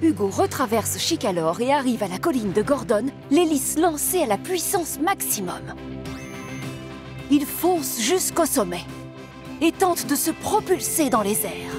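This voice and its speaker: A woman's voice: high-pitched, big pitch swings, over music. Woman narrating a cartoon. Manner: clear, measured storytelling. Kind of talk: scripted storybook narration.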